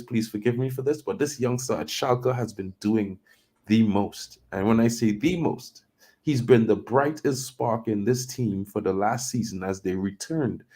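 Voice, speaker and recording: Man, from Trinidad and Tobago, football show host, warm dark tone, chatty, rambling. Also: lowish voice, slightly rough. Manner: chatty, loose, thinks aloud with fillers. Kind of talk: casual football talk show chat.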